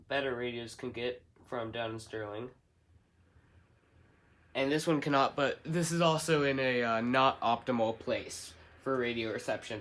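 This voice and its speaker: A man giving a casual, somewhat halting hands-on product demonstration, explaining step by step. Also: mid-range voice, fairly bright tone, a little hiss or hum. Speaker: hobbyist product reviewer.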